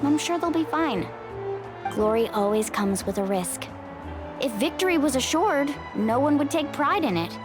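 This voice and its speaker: A woman's voice: high-pitched, lively intonation, moderate pace. An actress playing a confident, friendly young female warrior in a video game, talking in a casual, reassuring way. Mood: reassuring, upbeat. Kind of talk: game voice acting. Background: game music.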